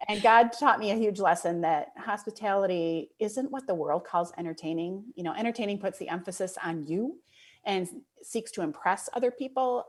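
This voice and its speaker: A middle-aged woman with a Michigan accent, a guest author telling stories in a relaxed, reflective way, laughing easily. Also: medium pitch. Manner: reflective, storytelling, easy laughs. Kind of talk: conversational interview.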